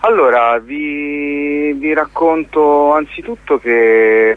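A man giving an informal radio interview as a theatre organiser, explaining events in a halting, hesitant way. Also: fairly high pitch, no room sound. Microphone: phone call. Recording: tinny, narrow phone line on radio.

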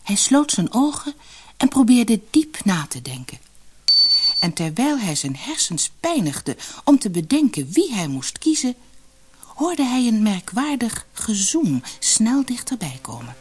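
A woman narrating a fairy tale for an audiobook in an expressive, dramatic, scripted storytelling style. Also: a little high, a little slow, breathy edge.

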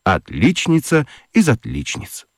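A man reading a children's story aloud in a studio, telling it in an animated, playful way. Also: measured pace, creaky, no echo. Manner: animated, playful storytelling with wide pitch swings. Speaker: narrator. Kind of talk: scripted audiobook narration for children. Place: radio studio.

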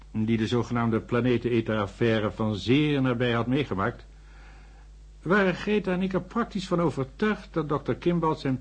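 An actor playing an earnest, thoughtful doctor who narrates a science fiction radio drama. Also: male voice, mid-range voice, slightly rough, lightly acted. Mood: earnest recounting. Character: male doctor narrating, earnest, sceptical but sympathetic. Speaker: narrator and character.

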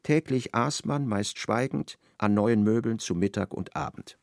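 Low, gravelly male narrator. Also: measured pace, a little mellow, no echo, clean studio sound. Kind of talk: literary radio drama narration.

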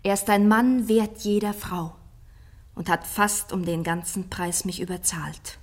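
An actress playing a passionate, devoted and defiant young princess in a classic radio play, declaiming with intensity. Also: female voice, mid-range voice, fairly even intonation, a little slow, lightly acted. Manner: declamatory, intense, dropping into breathy whispers.

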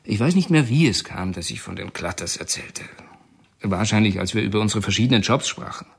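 A man's voice: fairly low pitch, animated, fairly quick, vocal fry, slightly rough, full character voice. An actor playing a male prison informant in a radio drama, speaking in a confessional, uneasy manner.

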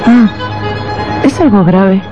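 An actress playing a guarded, anxious wife in a radio drama, hesitant and strained, in slightly dull audio. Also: female voice, medium pitch, slow, natural voice. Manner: hesitant, repeating words under strain. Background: faint music.

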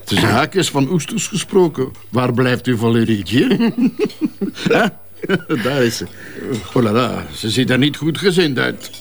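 A man's voice: mid-range voice, very animated, unhurried, gravelly. An actor playing a smug, condescending, boisterous businessman in a Flemish radio drama, mocking and self-satisfied. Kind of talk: naturalistic radio drama dialogue.

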